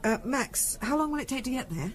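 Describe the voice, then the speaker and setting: A woman playing an anxious but determined middle-class English wife in a 1970s radio drama, halting and pleading as she repeats her words. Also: high voice, expressive.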